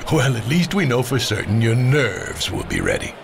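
An actor playing a stately veteran war advisor in a fantasy audio drama, speaking formally and with authority. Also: male voice, medium pitch, wildly swooping pitch, moderate pace, creaky, background noise. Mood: teasing, warm.

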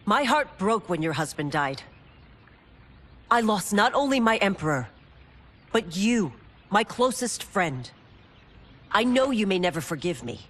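An actress playing a loyal, determined guard captain who speaks earnestly. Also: female voice, medium pitch, big pitch swings, measured pace, pauses often, fairly bright tone. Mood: resolute. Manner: earnest, resolute.

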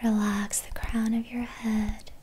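Woman, whispering meditation guide, very slow and unhurried, faint hiss behind. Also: a little high, little pitch movement, warm tone. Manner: whispered throughout, slow and soothing.

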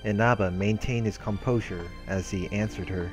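Male let's play narrator, deep register, measured read of story text, faint music underneath. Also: fairly even intonation. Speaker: let's play host reading visual novel text aloud. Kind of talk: narration read aloud with brief casual commentary. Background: game music.

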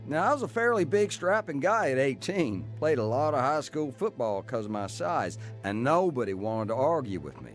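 Animated, plainspoken tough guy, played by an actor. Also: medium pitch, normal speed, few pauses, soft background music, close to an everyday voice. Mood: wry, matter-of-fact. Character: tough male ex-criminal recounting his bootlegging youth. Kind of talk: dramatized first-person narration and dialogue.

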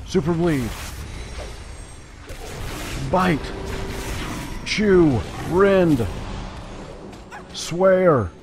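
A man's voice: high-pitched, animated, very slow, pauses often, a little mellow, faint music behind. A man giving casual, playful gameplay commentary, talking to himself and to the game as he plays. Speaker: gaming video creator. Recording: game combat noise behind. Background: video game sound effects.

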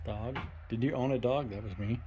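A young man filming a ghost-hunting vlog in a dark abandoned farmhouse, talking to spirits and loudly challenging them. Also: medium pitch, moderate pace, highs cut off, slight background noise. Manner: loud provoking challenges to the spirits. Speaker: paranormal investigator.

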